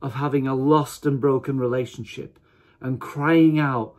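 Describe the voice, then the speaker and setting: A man in his fifties giving an informal sermon to camera, speaking emphatically in deliberate, broken phrases. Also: mid-range voice, steady tone, a little mellow. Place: small attic room at home, indoors.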